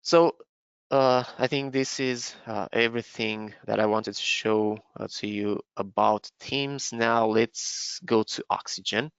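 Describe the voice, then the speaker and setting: Gravelly man demoing software. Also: fairly low pitch, steady tone, measured pace, no echo, highs cut off. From Romania. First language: Romanian. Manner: explanatory, with frequent fillers and restarts. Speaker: software presenter. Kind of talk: live webinar product demo.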